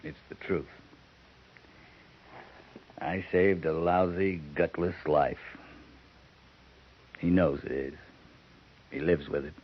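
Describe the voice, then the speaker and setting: An actor playing a hard-bitten cavalry captain, terse and brooding, in a 1950s radio drama. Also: male voice, low voice, fairly even intonation, slow pace, pauses often, a little hiss or hum. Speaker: army captain. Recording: muffled 1950s radio broadcast.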